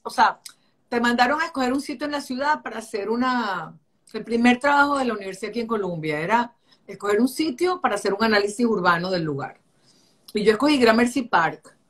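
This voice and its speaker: Middle-aged Caracas-accented woman, slightly high voice, lively storyteller on a video call. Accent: Caracas Venezuelan. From Venezuela. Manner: animated, chatty storytelling with many restarts. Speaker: architect interviewee. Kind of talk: casual interview. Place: home room.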